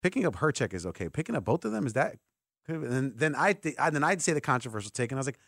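A man's voice: medium pitch, big pitch swings, fast talker, creaky, fairly bright tone, no echo. A man hosting a sports radio show in a casual, conversational, loosely rambling way, close on a studio microphone. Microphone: close broadcast microphone.

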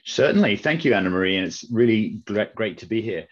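Middle-aged aussie guy, accent mostly faded, really deep voice, kinda muffled audio, talks careful with lots of restarts. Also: lively intonation. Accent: Australian, softened by long UK residence. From Australia. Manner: measured, with frequent restarts and fillers. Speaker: investment network CEO, guest. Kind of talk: podcast interview answers. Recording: slightly dull lo-fi audio.

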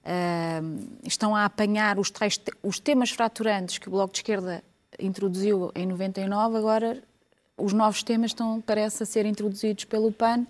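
A female analyst speaks on a televised political panel. Her voice sits at an ordinary pitch for a woman, and she talks in a measured, thoughtful way with hesitations. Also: fairly even intonation.

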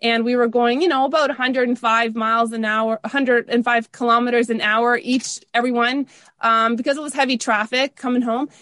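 A woman's voice: a little high, steady tone, a little fast, a bit bright. A middle-aged woman with a Canadian accent, telling personal stories as an interview guest. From Toronto area, Canada. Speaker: interview guest, university teacher.

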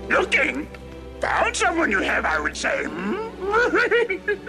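A small, ancient, teasing film creature. The voice is very high and slow, with inverted phrasing and playful questions. Loud music plays behind it. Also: male voice, wildly swooping pitch, vocal fry, full character voice. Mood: playful, curious. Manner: inverted word order, playful drawn-out questioning. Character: small, wise, teasing old creature with odd speech.

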